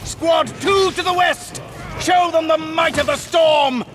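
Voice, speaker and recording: An actor playing a male battle commander who shouts orders to his troops. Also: extremely high pitch, steady tone, slow, background noise. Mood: commanding. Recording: loud battle music behind.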